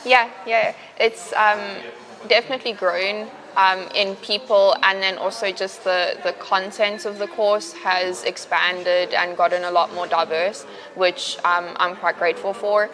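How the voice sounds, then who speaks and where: Young South African woman, bright voice. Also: mid-range voice, unhurried. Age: young adult. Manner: relaxed, chatty, lots of fillers like "um, so yeah". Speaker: university student. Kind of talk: casual interview answers.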